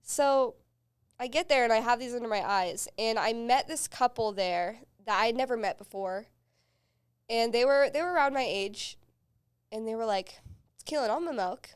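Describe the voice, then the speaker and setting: High-voiced young woman telling stories. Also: normal speed, smooth. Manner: chatty storytelling with frequent laughs and swearing. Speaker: podcast host. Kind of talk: casual chat.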